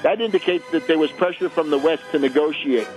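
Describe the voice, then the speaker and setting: A man hosting a radio show, giving opinionated political commentary with emphatic, deliberate, halting phrasing and a mocking edge. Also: a little high, soft background music. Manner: emphatic, rhetorical questions, mocking when quoting. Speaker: radio host. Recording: narrowband, muffled radio broadcast sound.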